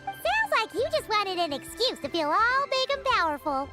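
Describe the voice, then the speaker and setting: A woman voicing a small, bubbly and cheeky fairy companion in a video game, with a squeaky childlike voice and a teasing manner. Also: very animated, normal speed. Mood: cheeky, skeptical. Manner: refers to self in third person, teasing. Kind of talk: English video game voice acting. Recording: loud music behind the voice. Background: loud game music.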